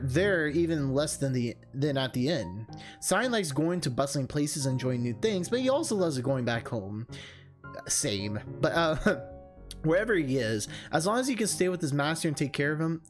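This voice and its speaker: A streamer reading a visual novel aloud and adding informal, self-deprecating asides. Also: mid-range voice. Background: music.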